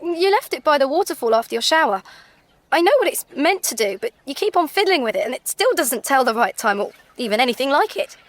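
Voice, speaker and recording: An actress playing a surly, bored teenage daughter, petulant and scornful, in a radio comedy drama. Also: female voice, sits high, very animated, fast, dry recording.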